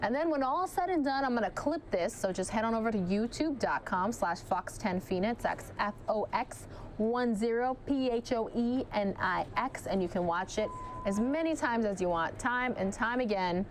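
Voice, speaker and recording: Chatty woman anchor, voice going hoarse. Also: fairly high pitch, animated, normal speed, slight background noise. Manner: chatty, addresses viewers directly. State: losing her voice. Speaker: news anchor. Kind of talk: live chase commentary.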